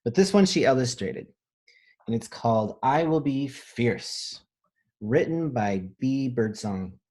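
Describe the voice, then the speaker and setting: A man reading a children's picture book aloud as a teacher, pausing to think aloud and explain things simply. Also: mid-range voice, animated, darkish tone. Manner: pauses to pose questions and think aloud.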